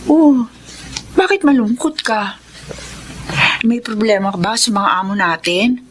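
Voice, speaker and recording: Actress as high-voiced, caring young housemaid friend. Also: female voice, measured pace, natural voice. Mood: concerned. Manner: expressive, sympathetic. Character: kind, concerned provincial friend, young housemaid in Manila. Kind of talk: Filipino radio drama acting. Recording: noisy background ambience.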